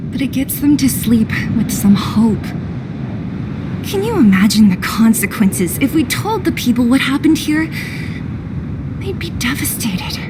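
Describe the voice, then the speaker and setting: A woman's voice: on the high side, noisy background. An actress playing a blunt, protective young woman in a dramatic game cutscene, speaking frankly and with feeling. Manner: frank, emotional, plain-spoken. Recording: orchestral music behind the voice.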